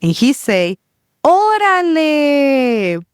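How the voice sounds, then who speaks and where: A woman's voice: sits high, big pitch swings, drawn-out words, no echo, studio quality. A woman from Guatemala with a Guatemalan Spanish accent, speaking English as a second language, hosting a podcast as a Spanish language coach in an upbeat, encouraging way. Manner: upbeat and encouraging, drills words aloud. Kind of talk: solo podcast lesson.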